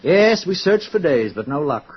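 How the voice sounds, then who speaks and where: An actor playing a pompous older male director with a rambling, halting, officious manner in a radio drama. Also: fairly high pitch, big pitch swings, slightly rough. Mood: wistful, musing. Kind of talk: old-time radio drama acting. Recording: dull, muffled old radio recording.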